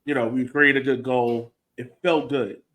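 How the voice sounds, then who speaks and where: A man's voice: medium pitch, fairly even intonation, a little slow, a bit bright. An American man co-hosting a soccer podcast, thinking aloud in an informal, conversational way with plenty of "like". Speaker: podcast co-host and soccer supporter. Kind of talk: casual sports chat.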